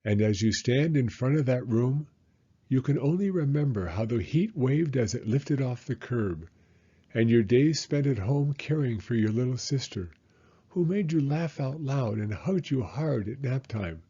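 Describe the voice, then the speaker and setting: Expressive man reading a children's story, mellow, slightly husky. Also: mid-range voice, a little slow, lo-fi sound. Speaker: story time reader and host. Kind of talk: children's picture book read-aloud, scripted narration.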